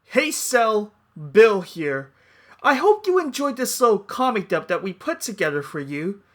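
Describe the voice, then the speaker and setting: A man's voice: high-pitched, very animated, a little slow. A man speaking as host in a cheerful birthday message. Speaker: host, dub organizer.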